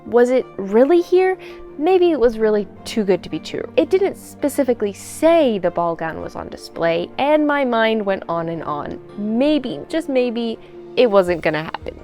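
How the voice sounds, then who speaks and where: A woman narrating a vlog in an excited, gushing and exclamatory way. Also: fairly high pitch, normal speed, faint music behind. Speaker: vlogger and seamstress.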